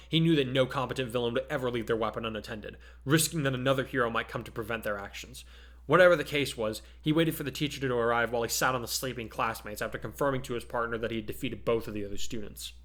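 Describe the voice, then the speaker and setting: Male narrator, mid-range pitch, dramatic delivery, scripted storytelling, fan fiction reader. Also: fairly bright tone.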